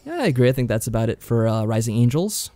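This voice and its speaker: A man's voice: mid-range voice, sing-song, fast, dark tone, no echo. A man co-hosting a podcast, chatting casually about games in a laid-back, slightly tentative way. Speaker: podcast co-host.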